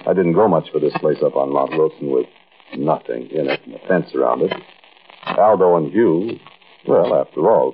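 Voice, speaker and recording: An actor playing a world-weary American bank robber telling his tale in a colloquial, rambling 1940s radio style. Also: sits low. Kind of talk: 1940s radio acting, first-person narration. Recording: scratchy narrow-band old-time radio recording.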